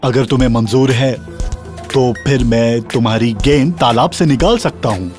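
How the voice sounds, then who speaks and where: A man voicing a pleading, demanding talking frog in a children's fairy-tale cartoon, with an insistent, drawn-out delivery. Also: mid-range voice, darkish tone, soft background music, close to an everyday voice. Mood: earnest, demanding.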